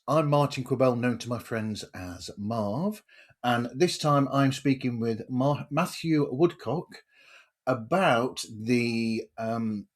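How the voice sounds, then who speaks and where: A man hosting a podcast interview in a casual way, searching a little for his words. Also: medium pitch. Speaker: podcast host interviewing a guest.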